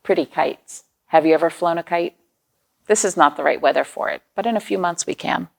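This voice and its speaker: A woman with a creaky voice hosting storytime for young children, reading aloud and chatting to them in simple explanations and questions. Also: on the low side, brisk. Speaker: children's storytime host at a library.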